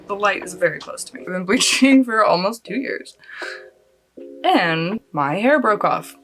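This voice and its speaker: A fairly low-pitched woman from Australia, her accent mostly Californian with Australian sounds slipping back in. She rambles casually through a makeup tutorial in her late thirties, joking at her own expense and laughing often, with faint guitar music behind her. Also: a bit bright, poor audio. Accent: mostly Californian with some Australian coming through. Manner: chatty, rambling and self-deprecating, with frequent laughs. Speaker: beauty vlogger. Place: at home, lit by a close lamp.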